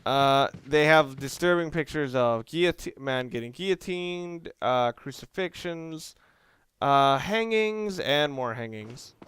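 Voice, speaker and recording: Higher-voiced man doing casual game commentary. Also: lively intonation, slow pace, a bit bright, clear, resonant tone. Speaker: video game let's play commentator. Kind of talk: casual gameplay walkthrough commentary. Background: video game music and sound.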